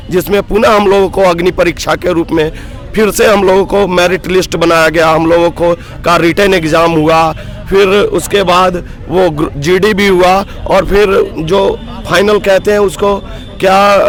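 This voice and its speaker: A hoarse man in his thirties, a block coordinator at a roadside protest, speaking earnestly and with rising grievance in a street interview. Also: high-pitched. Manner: aggrieved, earnest, builds to emphatic outbursts. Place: roadside protest sit-in outdoors.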